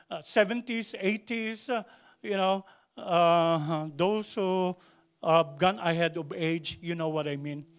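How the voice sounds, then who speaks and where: A man preaching a sermon to a congregation, repeating phrases for emphasis and asking the church questions. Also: sits high. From Philippines. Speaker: pastor.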